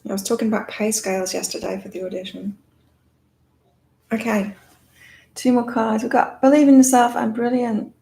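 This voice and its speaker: A woman hosting a live psychic card-reading chat with a calming voice, talking in a rambling, reflective way with many fillers. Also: fairly high pitch, gravelly, poor audio. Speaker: psychic reader and live-stream host. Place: in Thailand.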